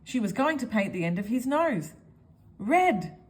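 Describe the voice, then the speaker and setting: Very animated woman storyteller. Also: a little high, measured pace. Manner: expressive, addresses young listeners with questions. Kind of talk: children's picture book read-aloud.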